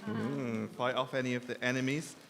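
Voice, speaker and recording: A man's voice: medium pitch, fairly even intonation, moderate pace, creaky, fairly bright tone. Echoey hall, noticeable background noise, male host, informal stage announcements, self-deprecating humour. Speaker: host of a live literary event. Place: indoor live event venue in a reverberant hall.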